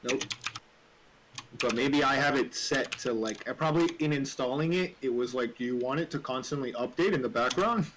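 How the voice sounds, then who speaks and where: A man's voice: medium pitch, normal speed. A man in casual, informal conversation, given to swearing. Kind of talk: casual chat. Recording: faint noise, keyboard clicks. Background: typing and clicks.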